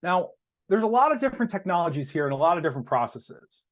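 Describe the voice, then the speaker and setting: A man giving an explanatory business presentation as a company executive, phrasing deliberately and stressing key terms. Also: high-pitched, very animated, fairly bright tone.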